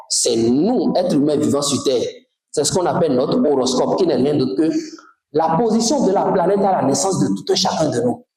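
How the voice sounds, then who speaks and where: A man from Togo teaching a spiritual class in an animated, emphatic way, often putting questions to his listeners. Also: high voice. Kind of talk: lecture to a class. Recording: very echoey room.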